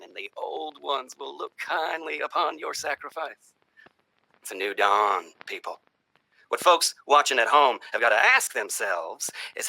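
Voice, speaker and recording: Man playing a sharp, folksy, sinister campaigning politician. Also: medium pitch, creaky, husky, heavily acted, poor audio. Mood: sinister, gleeful. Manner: folksy, rhetorical, dramatic pauses and hushed asides. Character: folksy, sinister cultist presidential candidate, genial and menacing. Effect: vintage old-time radio treatment. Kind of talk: theatrical campaign stump speech, satirical horror monologue.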